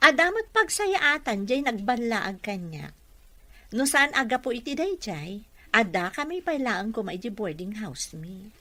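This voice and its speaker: A woman's voice: on the high side, big pitch swings, measured pace, sharp tone, exaggerated voice. A woman acting a young woman confiding in a close friend, with an Ilocano accent, in a radio drama. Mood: casual.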